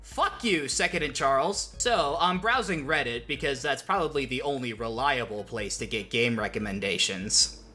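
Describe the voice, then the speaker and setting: Expressive, bright-voiced man doing video commentary. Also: medium pitch, moderate pace, faint music behind. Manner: joking, self-interrupting, sweary. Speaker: video essay host. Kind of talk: casual scripted video essay commentary.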